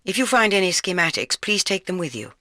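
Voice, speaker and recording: A woman's voice: lowish voice, big pitch swings, a little fast, sharp tone, no echo, studio quality. A woman playing a stern British spy chief, giving clipped, formal orders as video game mission briefings. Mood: stern, composed.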